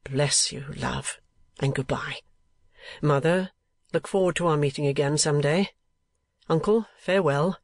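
A performer voicing an earnest, polite young gentleman from a Victorian novel, sincere and quick to speak. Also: medium pitch, dry recording, lightly acted. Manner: quick, well-spoken, sincere.

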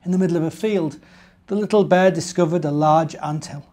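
A British man in his forties narrating a children's picture book with expressive, emphatic, measured phrasing. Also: a little high.

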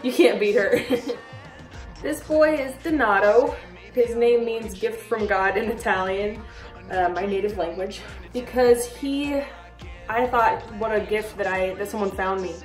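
A young woman in her twenties chatting casually in a vlog, gushing about her pet snakes and breaking into high baby talk. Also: a little slow, distant mic. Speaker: reptile keeper vlogger. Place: small bedroom reptile room indoors. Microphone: camera a little way off. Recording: roomy echo, voice a bit off-mic, faint music.

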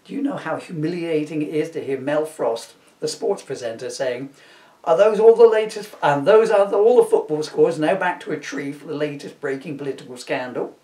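Eager newswoman with wounded pride, played by a man, raised pitch, comic storybook delivery. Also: lively intonation, normal speed, warm tone, echoey room, recorded from a distance, close to an everyday voice. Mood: indignant. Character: eager, wounded female TV news reporter.

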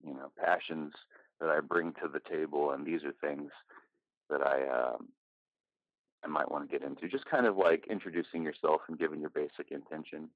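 A man's voice: extremely low pitch, moderate pace, no echo. A man casually moderating an online group meeting in an informal, loosely phrased way. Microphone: online voice call. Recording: dull lo-fi online call audio.

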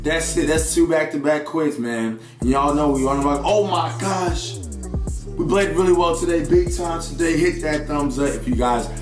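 A young man with a raspy, sore voice giving excited live commentary on a football video game and talking to his viewers. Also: fairly high pitch, moderate pace, echoey room, over music. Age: young adult. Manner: excitable, hypes plays, chatty with viewers. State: hoarse, sore voice. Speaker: gaming YouTuber.

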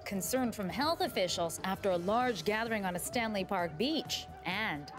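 Brief: female anchor, crisp headline read, mid-pitch. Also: animated, moderate pace, over music. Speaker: news anchor. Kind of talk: newscast anchoring.

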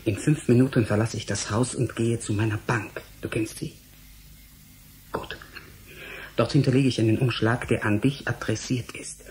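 An actor playing a stubborn, idealistic and terse scientist in a German radio drama. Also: male voice, medium pitch, fairly even intonation, normal speed, darkish tone, slight background noise, natural voice, rough recording. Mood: hushed, urgent.

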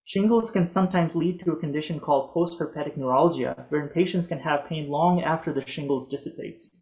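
A man in his thirties whose first language is Urdu, reading a prepared talk in a measured, earnest way over a video call from a home office. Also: on the high side, darkish tone, lo-fi sound. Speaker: physician presenter.

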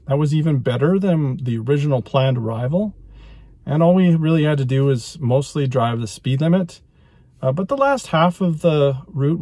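A man in his thirties narrating a road-trip vlog from inside his car, explaining things in a relaxed way with fillers and restarts. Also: mid-range voice, no echo, clean studio sound. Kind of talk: casual vlog narration while driving. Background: music playing under the voice.

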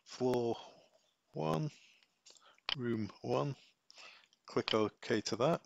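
A man giving a casual step-by-step software tutorial, thinking aloud as he works. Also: mid-range voice, very slow, vocal fry, background noise.

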